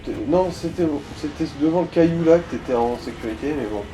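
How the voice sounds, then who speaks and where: A man's voice: a little high, brisk, mellow, slight background noise, rough recording. A man giving casual, slangy Let's Play commentary and coaching a player, with some swearing. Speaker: gaming co-host guiding a player.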